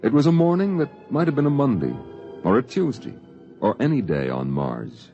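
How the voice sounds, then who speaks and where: An actor narrating a 1950s science-fiction radio play in a solemn, measured, dramatic style. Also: male voice, mid-range voice, sing-song, dark tone. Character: solemn omniscient storyteller of a science-fiction tale. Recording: dull vintage 1950s radio recording. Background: faint music.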